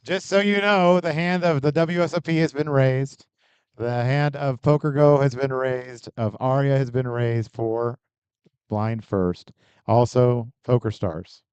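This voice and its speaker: A man moderating a conference panel, talking in a lively way and addressing the crowd. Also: mid-range voice, a little slow, clean tone, no room sound.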